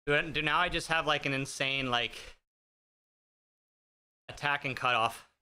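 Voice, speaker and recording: Thirties male streamer, a bit high and bright, joking casually with chat over a desk mic. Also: measured pace, clean tone. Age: 30s. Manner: joking, self-deprecating, chatty; talks while riding an indoor bike. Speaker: fitness streamer. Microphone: streaming desk mic with noise gate.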